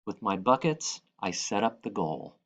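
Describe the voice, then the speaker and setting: A man reading a children's picture book aloud, expressively, with dramatic and deliberate phrasing. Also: on the low side, expressive, a bit bright. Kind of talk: expressive read-aloud narration.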